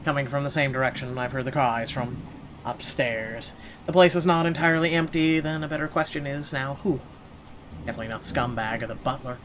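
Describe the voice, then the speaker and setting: A man's voice: medium pitch, a little fast, fairly bright tone, slight background noise, rough recording. A man playing a horror game, reading its text aloud theatrically and adding sarcastic asides. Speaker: let's player.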